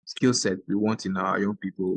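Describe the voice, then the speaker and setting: Low-voiced man, dry close sound. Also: steady tone, normal speed, no echo. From Ghana. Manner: earnest, explanatory, with brief backchannel agreements. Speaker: podcast host. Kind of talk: podcast conversation.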